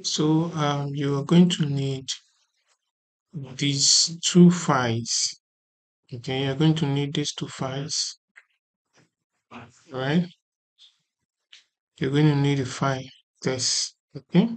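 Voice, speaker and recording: A tutorial host explaining software step by step in an informal way, repeating points and often checking that the listener follows. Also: mid-range voice, steady tone, slow pace, long pauses. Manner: repetitive, often checking for understanding.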